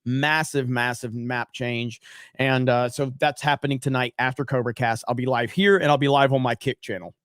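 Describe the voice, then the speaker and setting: A man hosting a casual livestream, reading viewer messages and shout-outs with energy while his voice is starting to go and crack from overuse. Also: mid-range voice, steady tone, normal speed, clean tone, dry recording, clean studio sound. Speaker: host.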